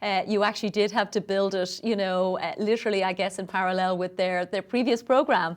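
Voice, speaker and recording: A woman's voice: medium pitch, steady tone, fast talker. A woman hosting a business podcast, asking her guest encouraging questions with frequent fillers. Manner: warm-up questions, encouraging, uses fillers like you know. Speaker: podcast host. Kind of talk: interview hosting.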